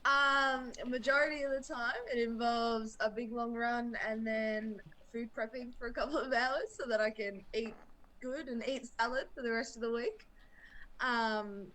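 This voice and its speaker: A woman from Australia, a guest on a video podcast, chatting in a relaxed, giggly way over a video call. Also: on the high side, normal speed, low-quality recording. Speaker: guest fighter. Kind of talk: casual interview chat.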